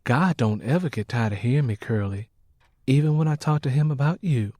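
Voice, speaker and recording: Audiobook role: male narrator as weary, God-fearing Southern woman, earnest and gently reproving, dry close sound. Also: medium pitch, lively intonation, brisk, no echo, close to an everyday voice. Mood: firm, reproving. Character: devout, weary, steadfast Southern mother. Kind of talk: audiobook character acting.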